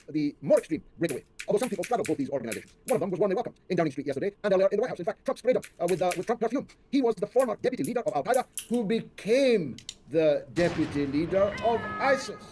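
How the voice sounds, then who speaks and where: A man's voice: sits high, a little mellow, slight background noise. An older man giving political commentary as an interview guest, speaking emphatically with rhetorical lists and questions.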